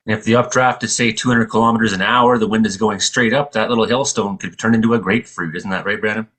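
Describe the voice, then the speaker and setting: A man's voice: lowish voice, steady tone, fast, a bit bright, husky. A man hosting a radio show in a casual, joking style of chat.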